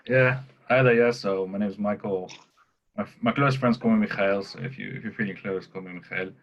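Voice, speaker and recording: Deep-voiced man from Israel, muffled call. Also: steady tone, brisk. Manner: jokey, friendly. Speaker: class participant. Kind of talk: casual introduction. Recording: muffled video call audio.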